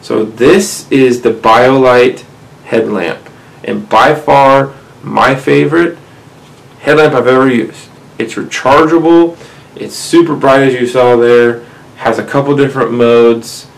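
Casual outdoors host in his thirties, gravelly and mid-pitched, talks to viewers at a desk webcam. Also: fairly even intonation, takes it slowly, rough recording. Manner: conversational, addresses viewers as guys, frequent "right?". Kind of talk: casual gear tutorial to camera. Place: small indoor room, seated at desk.

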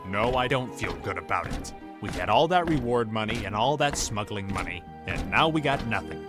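A man's voice: lowish voice, lively intonation, moderate pace, music in the background, close to an everyday voice. An actor playing a cocky, exasperated male smuggler captain in a comic dub.

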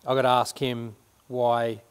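Middle-aged aussie bloke rambling to camera in a big shed, lots of echo, mid pitch. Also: male voice, little pitch movement, a little slow, smooth. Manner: chatty, rambling, self-correcting. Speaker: renovation vlogger. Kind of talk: casual show-and-tell to camera. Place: large workshop shed indoors.

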